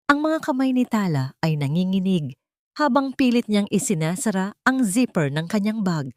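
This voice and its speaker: A woman narrating a scripted romantic drama in a solemn, emotive radio-drama style with deliberate phrasing. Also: low voice, darkish tone, no echo, studio quality. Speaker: story narrator.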